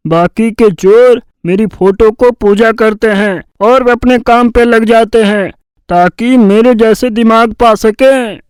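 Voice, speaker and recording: Man voicing a high-pitched, sly cartoon village thief. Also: steady tone, smooth, dry recording. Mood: boastful. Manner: bragging, drawn-out cajoling. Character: boastful scheming male village thief, sly and lazy. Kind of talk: Hindi cartoon fairy-tale dubbing.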